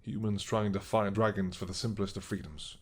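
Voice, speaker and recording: A man voicing an old, once-mad dragon king who is possessive and doting, speaking slowly with a tender, teasing manner in an audio roleplay. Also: fairly low pitch, steady tone, slightly rough.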